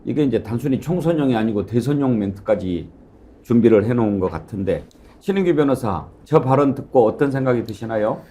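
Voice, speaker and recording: A man anchoring a political talk show, giving wry, measured commentary with pauses. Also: medium pitch, lively intonation, warm tone. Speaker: news anchor.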